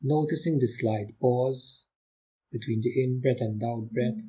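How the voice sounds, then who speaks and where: A man guides a meditation in a dark, relaxed voice with a slightly breathy grain. He speaks very slowly and gently, leaving calm pauses between short instructions. The recording sounds a little dull. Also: mid-range voice. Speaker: meditation teacher.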